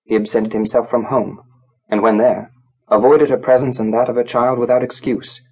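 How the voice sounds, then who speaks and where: A man narrating a literary story from a script, in a measured, grave, dramatic storytelling manner. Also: mid-range voice, mellow, breathy edge, low-quality recording. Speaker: audiobook narrator.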